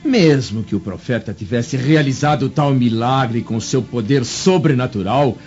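A man's voice: medium pitch, measured pace, darkish tone, natural voice. An actor playing a proud, bitter, grieving Roman father in a declamatory radio drama style. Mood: bitter, indignant. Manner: declamatory, anguished. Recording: dull lo-fi radio drama, music underneath.

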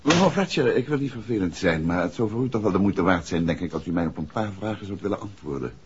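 An actor playing a courteous, inquisitive gentleman detective in an old radio drama, speaking politely and probingly. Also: low voice, expressive, quick pace, slight background noise. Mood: probing, measured. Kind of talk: 1960s Dutch radio drama acting. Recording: muffled old radio recording.

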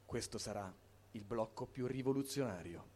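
A man delivers verse as an emphatic recitation. His pitch is average for a man. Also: normal speed, mellow, a little hiss or hum. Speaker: poetry reader.

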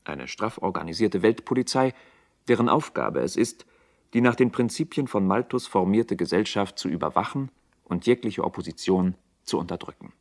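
A man's voice: deep voice, lively intonation, normal speed. A man reading scripted narration for a radio drama in a sober, formal manner.

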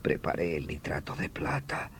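A man playing an anguished, deranged killer of his beloved in a radio horror drama, with theatrical, halting delivery between whispers and outcries. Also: a bass voice, level tone, gravelly, a crowd in the background. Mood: hushed confession. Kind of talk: melodramatic Spanish-language radio horror acting.

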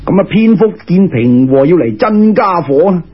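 A man's voice: high voice, animated, normal speed, slightly rough. A man with a Cantonese accent telling a serial tale in traditional dramatic storytelling style. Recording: muffled lo-fi radio recording.

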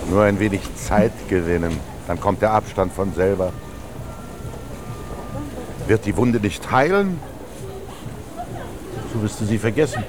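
A man's voice: on the low side, animated, measured pace, pauses often, background noise. An actor playing a lonely, reflective man narrating his thoughts in a radio drama, with a dry literary delivery. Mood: resigned. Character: lonely young scribe, musing narrator wandering through rainy Munich. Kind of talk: introspective radio drama monologue.

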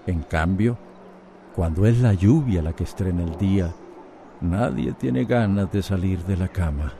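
A man acting as the calm, poetic storyteller of a radio soap. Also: low voice, unhurried, slight background noise. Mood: reflective. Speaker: narrator. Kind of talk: scripted radio drama narration.

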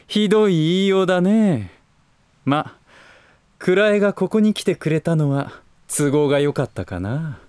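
Give this to a smooth, scheming gentleman of business with a fairly high voice. He speaks softly polite words with a teasing, condescending edge, and his pitch swings freely as in a polished audio drama. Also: big pitch swings, takes it slowly, pauses often, dry recording, heavily acted. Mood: amused, provocative. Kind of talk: Japanese voice drama acting.

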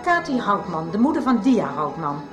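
A woman's voice: mid-range voice, very animated, moderate pace, roomy sound, music in the background, lightly acted. An actress playing an assertive middle-aged mother in a radio soap, firm and formally polite as she stands her ground. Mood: polite, determined. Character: assertive divorced mother standing up to a school official. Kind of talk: 1990s radio soap acting.

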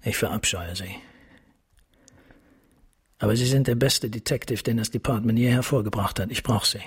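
An actor playing a middle-aged, grieving and vengeful police captain in a radio drama, formal, bitter and menacing. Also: male voice, on the low side, normal speed, slightly rough. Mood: bitter, commanding.

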